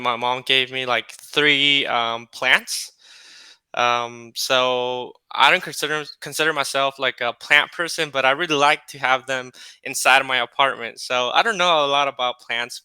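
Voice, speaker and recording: A man from California, an English tutor chatting on a video call in a relaxed, friendly way with many pauses and fillers. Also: medium pitch, smooth, no echo. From California, United States. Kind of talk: casual video-call conversation.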